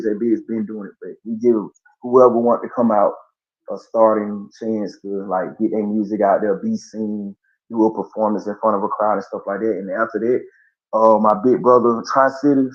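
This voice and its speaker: Laid-back low-voiced rapper. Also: male voice. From Columbus, Georgia. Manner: laid-back storytelling with slang and fillers. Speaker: guest artist. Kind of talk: casual interview chat. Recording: dull lo-fi podcast audio.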